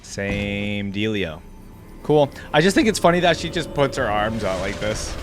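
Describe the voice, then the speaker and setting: A man's voice: lowish voice, expressive, a little slow. A man giving casual, off-the-cuff reaction commentary, thinking aloud in a chatty way with informal slang. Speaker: host of a reaction video.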